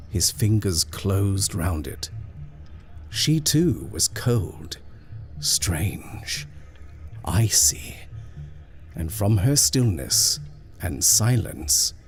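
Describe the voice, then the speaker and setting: Deep low guy reading a spooky story real slow, soft music underneath. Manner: slow, measured, hushed at tense moments. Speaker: narrator. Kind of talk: scripted horror story reading.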